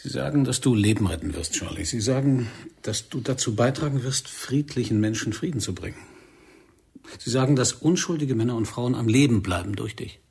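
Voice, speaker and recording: An actor playing a reserved, world-weary secret agent in a radio drama, restrained, terse and sincere. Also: male voice, medium pitch, animated, brisk, darkish tone, exaggerated voice. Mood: earnest, hushed. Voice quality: gravelly, husky. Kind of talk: German radio drama acting.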